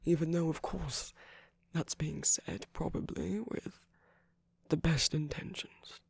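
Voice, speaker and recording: A man playing a tender but commanding villain in a romantic ASMR roleplay, speaking softly and breathily with measured pauses, often dropping to a whisper. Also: on the high side, steady tone, gravelly, husky, no room sound, exaggerated voice. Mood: gentle, earnest. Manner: slow, gentle, measured, with deliberate pauses. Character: tender, commanding male villain comforting his lover.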